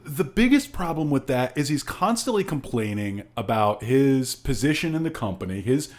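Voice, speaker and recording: A man's voice: mid-range voice, big pitch swings, moderate pace. A man co-hosting a casual podcast discussion with a wry, sardonic way of talking. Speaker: podcast host.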